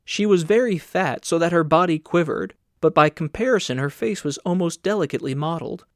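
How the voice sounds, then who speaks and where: A man's voice: a little high, lively intonation, brisk, no echo, studio quality. A man reading scripted literary narration as an audiobook narrator.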